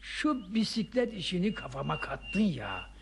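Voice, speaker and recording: A man's voice: sits high, wildly swooping pitch, unhurried, gravelly, full character voice. An actor playing an eager, effusive older man in a radio play, exclaiming and repeating his words. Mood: delighted, grateful. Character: Hulusi, eager grateful older man, excitable cycling companion. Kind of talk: Turkish radio theatre acting. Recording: faintly noisy old radio drama recording.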